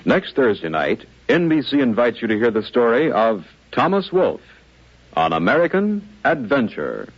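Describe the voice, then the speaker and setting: A male announcer narrates in a formal, deliberate 1950s radio drama style. His mid-pitched voice moves expressively up and down. The old recording is dull. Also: sing-song, slight background noise.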